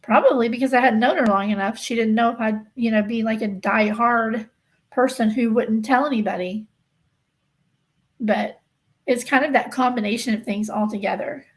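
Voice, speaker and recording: A woman's voice: fairly high pitch, moderate pace, low-quality recording. A woman hosting a casual livestream, talking emphatically and insistently to her viewers and repeating herself to drive points home. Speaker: livestream host of a true-crime gossip channel.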